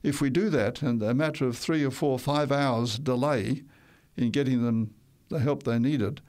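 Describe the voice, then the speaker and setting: A man's voice: mid-range voice, normal speed, darkish tone. An older man who grew up in Tonga, telling his life stories in a radio interview, rambling a little and correcting himself as he goes. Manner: rambling, with restarts and self-corrections. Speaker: interview guest.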